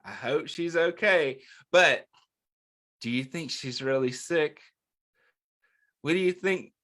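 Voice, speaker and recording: A man with a fairly high voice hosts storytime for little kids at a very unhurried pace. He reads in simple phrases and speaks straight to the children, asking questions and answering them in an encouraging way. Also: fairly bright tone. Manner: talks directly to young listeners, asking questions and answering them. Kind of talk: interactive picture-book read-aloud for young children.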